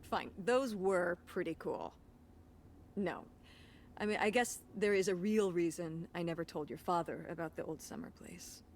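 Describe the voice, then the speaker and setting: A woman's voice: fairly low pitch, moderate pace, slight background noise, natural voice. A woman playing a weary, wry middle-aged mother in a naturalistic video game drama, with a dry, defensive manner.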